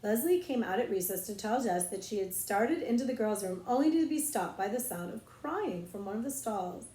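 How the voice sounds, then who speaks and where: A woman reading a children's novel aloud as expressive, dramatic narration. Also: medium pitch, moderate pace. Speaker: audiobook reader, librarian. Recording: roomy echo.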